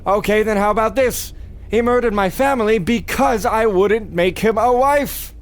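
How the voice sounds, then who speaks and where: Radio comedy, mad scientist male actor: young, very high voice, nervous, digressive, melodramatic. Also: normal speed, close to an everyday voice. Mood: rambling, defensive. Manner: flustered, evasive, dramatic, rambling. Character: nervous, rambling young mad scientist who made a monster. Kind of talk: parody radio play acting.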